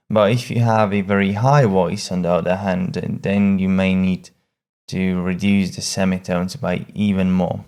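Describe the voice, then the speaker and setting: A man presenting a casual software tutorial in a hesitant, halting way, often repeating words as he explains each step. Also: low voice, creaky.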